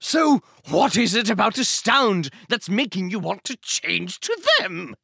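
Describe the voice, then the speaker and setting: A man playing a pushy, pleading call-centre agent as a mock-Shakespearean dramatic reading, theatrical and drawn-out. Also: high voice, lively intonation, gravelly, pushed and bright, husky, no echo, studio quality, exaggerated voice. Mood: probing, incredulous. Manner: melodramatic, halting, drawn-out delivery.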